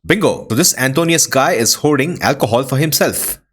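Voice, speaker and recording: A man's voice: medium pitch, expressive, a little fast, breathy edge. A man hosting a video game playthrough, commenting casually on what happens.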